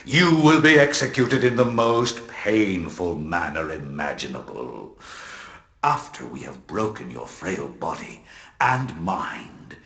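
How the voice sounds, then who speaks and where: A corrupt inquisitor in a video game, deep and gravelly. He speaks slowly and theatrically, with big swooping emphasis and gloating menace. His words echo over music. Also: very animated, a bit bright, exaggerated voice. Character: sinister corrupt inquisitor, a gloating cult leader. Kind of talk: scripted video game cutscene acting. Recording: echoey game audio with music.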